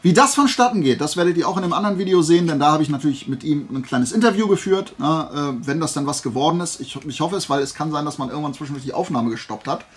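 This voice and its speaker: A man's voice: a little high, expressive, fast, hardly any pauses. A man hosting a casual YouTube haul vlog, talking informally in a rambling way as he thinks aloud. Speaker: YouTube host.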